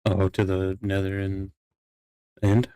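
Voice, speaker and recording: A man plays a considerate young courtier in an improvised game roleplay. His voice is soft-edged and relaxed, recorded close and dry. He talks casually, as if gently checking in on a friend. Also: deep voice, takes it slowly, clear, resonant tone, dry recording. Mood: easygoing, considerate. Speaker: player in a Minecraft roleplay.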